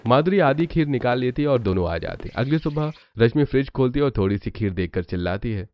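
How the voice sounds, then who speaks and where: A man's voice: medium pitch, lively intonation, quick pace. A man narrating a scripted cartoon family story. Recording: muffled audio, clear background noise.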